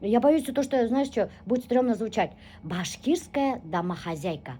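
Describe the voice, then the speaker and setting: A lively-voiced woman chats in a recording studio, her pitch swinging up and down as she jokes and teases herself. She talks in a fairly high register over faint background noise, casual and playful. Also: normal speed. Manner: chatty, joking, self-mocking. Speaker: vlogger. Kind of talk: casual studio vlog chat.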